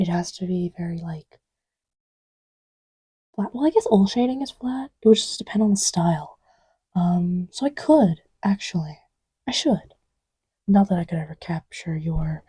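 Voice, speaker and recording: A woman playing a scatterbrained sweetheart girlfriend, soft whispery voice, unhurried, playful self-deprecating mumbling, intimate dry roleplay audio. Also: fairly low pitch, slightly rough, no room sound. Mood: teasing, affectionate. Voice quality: soft-spoken, often whispery. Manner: rambling, playful, self-deprecating, often drops to a murmur. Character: affectionate, scatterbrained girlfriend testing markers on her boyfriend's lap. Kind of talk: casual, improvised-sounding girlfriend roleplay audio with ASMR feel.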